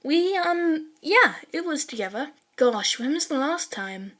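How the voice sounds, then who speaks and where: A woman's voice: sits high, sing-song, unhurried, fairly bright tone, pitched above the performer's own voice, full character voice. A woman voicing a good-natured, sheepish teenage schoolboy with a casual, hesitant way of talking in a story reading. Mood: flustered.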